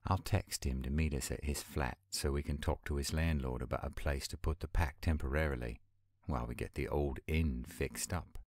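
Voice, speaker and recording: A man acting an earnest young werewolf pack leader in a roleplay audio drama, speaking in a measured way. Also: very deep voice, steady tone, darkish tone, dry recording, studio quality. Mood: earnest, hopeful.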